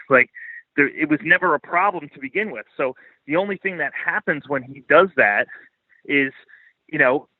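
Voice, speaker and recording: A man with a slightly high voice comes through a thin, dry, phone-like connection. He is a podcast guest giving opinionated commentary. His delivery is blunt and emphatic, coming back to his points for stress. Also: no room sound.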